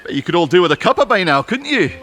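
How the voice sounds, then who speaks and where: A man's voice: high voice, big pitch swings, fast talker, sharp tone, soft background music, exaggerated voice. A man acting a stubborn, friendly ex-army groundsman and husband in an audio drama, in a homely, hesitant, plain-spoken way.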